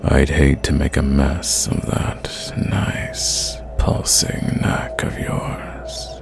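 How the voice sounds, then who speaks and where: A man voicing a centuries-old sadistic vampire in an ASMR roleplay, possessive and condescending, savoring his words and sometimes dropping to a breathy whisper. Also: completely flat delivery, drawn-out words, loud music behind the voice, heavily acted. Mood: taunting, hungry. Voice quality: deep, gravelly.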